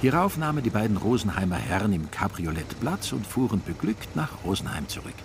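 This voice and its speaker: A man narrating a literary radio play in a wry, measured storytelling manner. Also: on the low side, sing-song, background noise.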